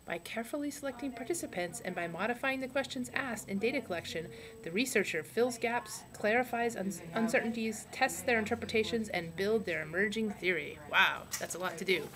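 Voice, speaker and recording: Woman playing a deadpan puppet sociologist, background chatter. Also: a little high, brisk, few pauses, close to an everyday voice. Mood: lecturing. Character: dead male sociologist puppet lecturing from heaven, deadpan. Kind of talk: comic scripted lecture in a puppet show.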